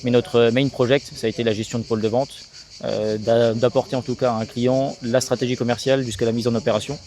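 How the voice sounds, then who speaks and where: A man's voice: medium pitch, flat delivery, fast, a little mellow, a little hiss or hum. A man in his thirties from Alsace giving a talkative, casual interview, peppered with business jargon. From Alsace, France. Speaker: sales agency co-founder being interviewed.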